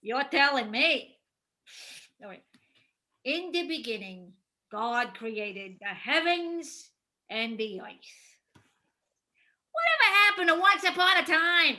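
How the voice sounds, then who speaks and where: A woman's voice: high-pitched, sing-song, takes it slowly, pauses often, bright, forceful tone, full character voice. A woman playing Heffalump, a gleeful, dim, sneering demon sidekick who jeers and gloats in a stage play reading. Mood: mocking. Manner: gloating, jeering, goofy.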